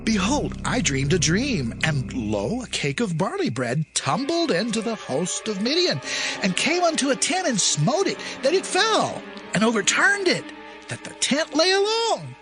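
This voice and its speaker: An actor playing an awestruck Midianite soldier in a dramatized Bible reading, with a theatrical delivery. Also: male voice, high voice, wildly swooping pitch, a little slow, music in the background, exaggerated voice. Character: awestruck Midianite soldier recounting a strange dream.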